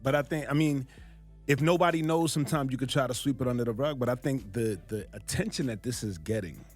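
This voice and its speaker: A man's voice: medium pitch, fast talker, soft background music. A man co-hosting a radio talk show, chiming in and agreeing in casual chat. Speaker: radio co-host.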